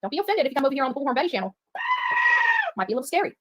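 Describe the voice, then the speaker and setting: High voiced lady livestreamer talking fast, rambly, emphatic, repeats herself, dry sound. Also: very animated, no echo. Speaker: livestream host reading viewer chat. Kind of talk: rambling live-stream chat.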